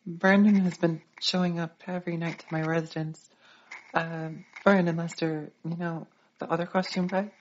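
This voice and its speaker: Actress as sleep-deprived woman, horror game, hesitant pleading, lowish even pitch, muffled noisy tape. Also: normal speed, natural voice. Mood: uneasy, hesitant. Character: shaken, sleep-deprived woman filing a complaint. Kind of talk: recorded complaint in an analog horror game. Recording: dull lo-fi tape with clear noise.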